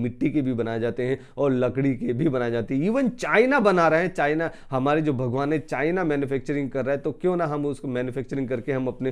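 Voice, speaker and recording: A man's voice: mid-range voice, clean tone. Business trainer, male, 30s, from India; emphatic, hesitant, lapel mic to camera. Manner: emphatic, swinging from soft to loud, frequent hesitations. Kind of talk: educational talk to camera. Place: indoor studio with green screen.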